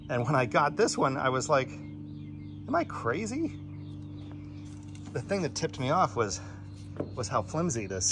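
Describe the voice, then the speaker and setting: A man giving a casual, plain-spoken product review, pointing out defects while filming with a handheld phone on an outdoor deck. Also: mid-range voice. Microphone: phone camera in hand.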